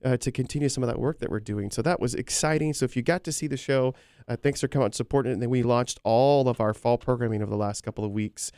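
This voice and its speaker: A man co-hosting a casual chat show, his voice hoarse from a cold, talking in a chatty, joking way with frequent fillers. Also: medium pitch, fast talker, darkish tone, no echo, clean studio sound. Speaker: co-host.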